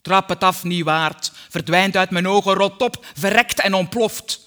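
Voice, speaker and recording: A woman's voice: mid-range voice, a little slow, a bit bright, close to an everyday voice. A woman voicing a harsh, mocking father with an explosive, contemptuous way of speaking. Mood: furious. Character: harsh, mocking Flemish father, scornful of his daughter.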